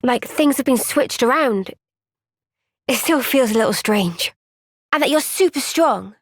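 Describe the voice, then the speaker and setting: An actress playing a young soldier heroine in a video game, earnest, gentle and resolute, speaking with soft, measured sincerity. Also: female voice, sits high, animated, no echo, studio quality, exaggerated voice. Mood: thoughtful, earnest. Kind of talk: video game cutscene voice acting.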